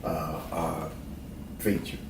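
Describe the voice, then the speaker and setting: A man from Bermuda, raised in New Jersey, hosting an interview in a formal, halting way with many pauses. He is recorded from a distant mic in an echoey room with faint noise. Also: very deep voice, level tone. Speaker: host.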